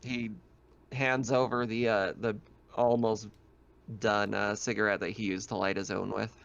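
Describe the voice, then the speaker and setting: A man's voice: on the low side, level tone, unhurried, vocal fry, fairly bright tone, dull audio. Guy game mastering a tabletop rpg, chatty, informal, kinda feeling his way through the narration.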